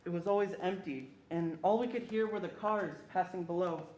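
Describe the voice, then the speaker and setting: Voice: medium pitch, normal speed, darkish tone, natural voice. A young performer playing a protective older brother who recalls his childhood in a readers theatre narration. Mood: solemn, remembering. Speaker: student performer. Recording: echoey room, faint background noise.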